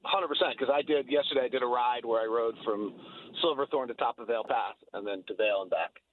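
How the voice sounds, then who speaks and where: A man's voice: medium pitch, fairly quick, no room sound. American guy calling into sports radio, effusive rambly chat, tinny dry phone sound. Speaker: sports broadcaster, radio guest. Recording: thin, phone-like line.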